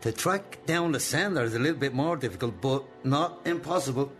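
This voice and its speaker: A male actor as an ageing Irish boss in a radio play. He coaxes deliberately, with a benign surface over controlling menace. Music sits faintly behind his medium-pitched voice. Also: lively intonation, close to an everyday voice. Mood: quietly menacing. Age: older. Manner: slow, deliberate, coaxing. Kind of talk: radio drama acting.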